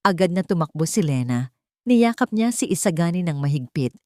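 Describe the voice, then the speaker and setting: A woman's voice: fairly low pitch, lively intonation, moderate pace, dark tone, dry recording, studio quality. A woman narrator reading a scripted story in a dramatic style.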